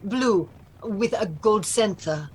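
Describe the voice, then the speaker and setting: An actress playing a watchful, formal governess in a castle household in a radio play, speaking precisely and earnestly. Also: female voice, medium pitch, expressive, takes it slowly. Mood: intent, watchful. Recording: clear background noise under the voice.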